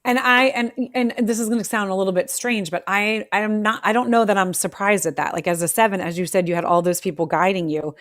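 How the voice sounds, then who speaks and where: A woman's voice: medium pitch, fairly even intonation, fast, hardly any pauses, fairly bright tone, no echo, studio quality. A woman hosting a live audio interview, effusive and rambling, with many restarts as she talks to her guest. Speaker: podcast host. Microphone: remote audio on a social audio app.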